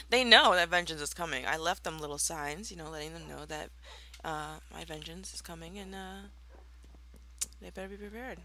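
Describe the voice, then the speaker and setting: A young woman giving casual commentary over a block-building game, slightly raspy from just waking up, playful and mock-villainous in a theatrical way. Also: on the low side, moderate pace, long pauses, bright, forceful tone, slight background noise. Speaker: Minecraft let's player.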